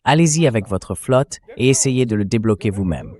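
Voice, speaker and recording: Male synthetic dub voice for a political analyst: fairly low, emphatic, opinionated, posing rhetorical questions. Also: lively intonation, normal speed, darkish tone. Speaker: political analyst, interview guest. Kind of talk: opinionated political commentary, dubbed translation read by AI voice.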